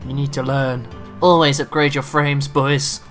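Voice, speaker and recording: A man with a raspy throat from a cough, chatting and swearing excitedly through live gameplay commentary. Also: mid-range voice, measured pace, soft background music. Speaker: gaming video creator.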